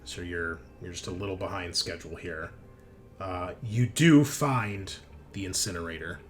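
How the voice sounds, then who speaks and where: Low-voiced male game master. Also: moderate pace, faint music behind. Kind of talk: narrating a tabletop roleplaying game, improvised. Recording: faint background noise.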